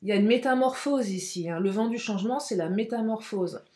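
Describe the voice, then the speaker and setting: A woman doing a tarot reading for her viewers, hoarse and speaking through a stuffed nose, halting often with fillers. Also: medium pitch, a little mellow, reverberant. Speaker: tarot card reader.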